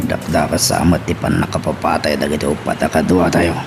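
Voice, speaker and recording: A man's voice: rumbling low, level tone, fairly quick, vocal fry, loud music behind the voice. A man with an Ilocano accent playing a meek, apologetic henchman in a radio drama. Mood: servile, apologetic. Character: meek, apologetic henchman driving for his boss.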